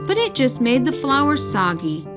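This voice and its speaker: A woman narrating a children's storybook as the storyteller, in an expressive scripted read-aloud style. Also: high-pitched, normal speed. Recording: music bed behind narration.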